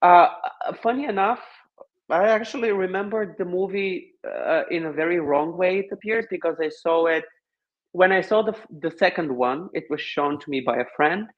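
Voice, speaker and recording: Higher-pitched Bulgarian man, slightly muffled recording. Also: moderate pace. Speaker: podcast guest. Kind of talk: casual conversation.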